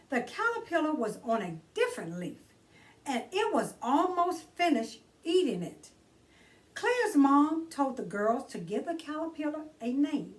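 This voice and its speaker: Woman, children's storytime host, higher-pitched voice, very lively intonation, theatrical read-aloud, recorded at a distance from the mic. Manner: theatrical, addresses child listeners directly.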